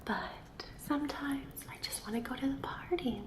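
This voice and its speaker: A woman's voice: sits high, moderate pace, roomy sound, background noise, close to an everyday voice. A woman voicing a playful, teasing ghost who poses riddles in an ASMR roleplay, repeating words over and over in a coaxing way. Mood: chatty, wistful. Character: playful, teasing female ghost guarding a secret passage with riddles.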